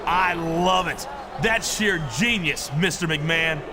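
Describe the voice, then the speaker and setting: A man's voice: a little high, takes it slowly, background noise. A man playing a smug, obsequious wrestling management lackey, explaining things in scripted game dialogue. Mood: fawning.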